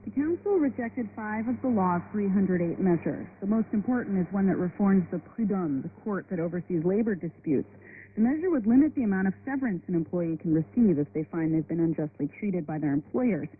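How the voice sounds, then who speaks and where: A woman's voice: mid-range voice, animated, fairly quick. Tinny radio band, woman correspondent, explanatory scripted news, light shortwave hiss. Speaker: news reporter.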